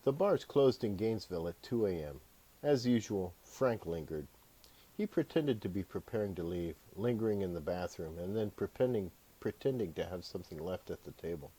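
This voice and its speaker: A man narrating his own fiction as an audiobook, in a measured storytelling delivery. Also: deep voice, lively intonation, warm tone, slightly rough, poor audio. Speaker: author reading own book aloud.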